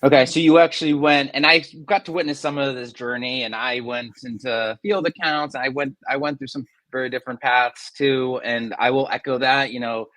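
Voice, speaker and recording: A man's voice: mid-range voice, steady tone, normal speed, few pauses, fairly bright tone, no room sound, low-quality recording. A man interviewing a colleague in a conversational professional style, informal, with plenty of "kinda" and "you know". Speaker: interviewer, sales executive.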